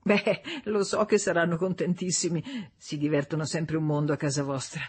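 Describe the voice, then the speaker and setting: A woman reading an audiobook voices a young wife being chatty and politely bright on the telephone. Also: deep voice, flat delivery, normal speed, husky.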